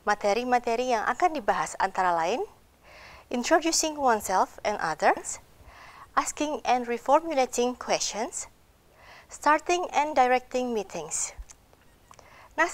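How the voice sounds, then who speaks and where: A woman narrating an educational course video in a formal, clear instructional manner. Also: fairly high pitch. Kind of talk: scripted narration.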